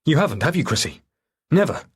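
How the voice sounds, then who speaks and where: A man's voice: fairly high pitch, sing-song, fairly quick, breathy edge, pitched above the performer's own voice, exaggerated voice. A man voicing an intense, controlling, protective father in an audiobook, urgent and insistent.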